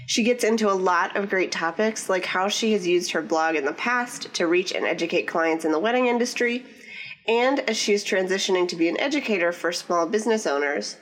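A woman's voice: medium pitch, lively intonation, fairly quick, rough recording. A woman hosting a podcast, reading a friendly scripted intro and then asking interview questions. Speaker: podcast host.

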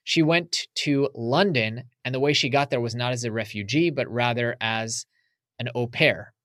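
A man's voice: mid-range voice, normal speed, a bit bright, dry recording, clean studio sound. A man from the United States, a podcast guest, talking casually and reflectively in an interview.

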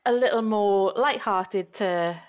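A woman's voice: medium pitch, slow pace. A young woman doctor co-hosting a podcast, chatting casually with frequent laughs and restarts. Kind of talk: casual chat.

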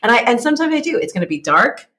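A woman's voice: a little high, big pitch swings, very fast, a bit bright. A woman from California with an American accent, explaining things conversationally as a coach and podcast guest, encouraging and acting out little inner dialogues.

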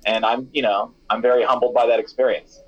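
A young man talks through his story in a loose, chatty way, leaning on fillers as he goes. His voice sits in a middle pitch. The sound is a bit dull and lo-fi, like a remote call. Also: fairly quick. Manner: chatty and animated, many fillers like "you know" and "like". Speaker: snack company founder, former radio DJ. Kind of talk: casual interview answers.

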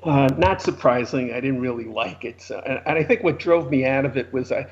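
A man's voice: medium pitch, brisk, vocal fry. A man in his sixties with a New York accent, a former lawyer telling dry, self-deprecating stories in an interview. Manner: wry, anecdotal, self-deprecating humor. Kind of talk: conversational storytelling interview. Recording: slightly muffled lo-fi audio.

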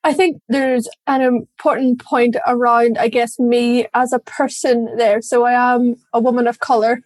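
An Irish woman speaks as an interview guest, with a voice a little higher than most. She answers thoughtfully and candidly, sometimes with a light laugh. The recording is dry and close. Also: flat delivery, measured pace, a little mellow, no echo. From Ireland. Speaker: guest, diversity and inclusion director.

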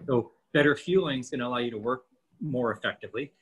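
A man's voice: mid-range voice, quick pace, fairly bright tone, husky, poor audio. A man in his fifties co-hosting a casual podcast, explaining training and nutrition with lots of rhetorical questions. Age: 50s.

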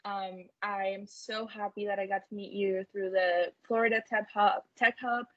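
A woman's voice: mid-range voice, fairly even intonation, normal speed, dry recording. Earnest Colombian-born woman, dry dull recording. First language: Spanish. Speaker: interview guest, tech company co-founder. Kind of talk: earnest conversational storytelling.

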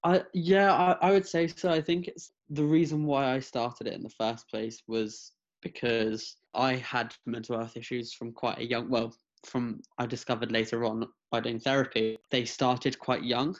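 A man's voice: mid-range voice, steady tone, normal speed, hardly any pauses. A man in his twenties, raised in England and France, talking earnestly as a podcast guest, with many restarts. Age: 20s. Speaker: guest, mental health advocate. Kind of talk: casual interview over video call. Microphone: video call. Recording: slightly dull lo-fi audio.

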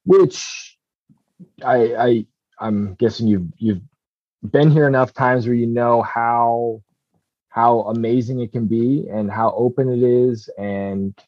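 A man's voice: mid-range voice, no room sound, highs cut off. A man from Southeast Alaska, a commercial fisherman chatting casually as a podcast guest, rambling with many ums and restarts.

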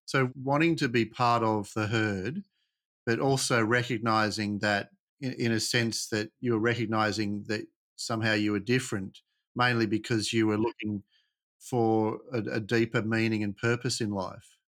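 A man interviewing a guest, asking halting, probing questions and summing up what the guest said. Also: fairly low pitch, fairly even intonation, fairly bright tone. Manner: hesitant, halting questions that sum up the guest's answers.

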